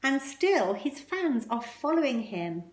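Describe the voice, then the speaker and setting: A woman reading a children's picture book aloud expressively, with measured, emphatic phrasing and pointed pauses. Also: sits high, very animated. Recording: roomy, echoing indoor sound.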